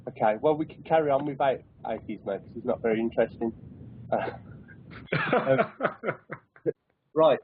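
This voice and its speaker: A man's voice: mid-range voice, moderate pace, long pauses. A man hosting a call-in discussion show, casual and joking with callers. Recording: tinny phone-like line, faint hiss.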